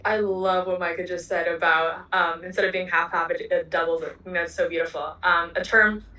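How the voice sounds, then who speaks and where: Enthusiastic young female panelist, low-pitched and quick, recorded in an echoey room. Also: fairly even intonation, pushed and bright, highs cut off. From Hong Kong. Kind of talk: panel discussion. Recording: echoey, distant.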